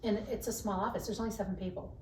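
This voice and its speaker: A woman whose voice carries, telling animated, self-mocking stories about her working life. She sits at some distance from the mic, so the sound is roomy. A faint hiss stays under her voice. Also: medium pitch, racing. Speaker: podcast guest, HR professional. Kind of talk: casual interview chat.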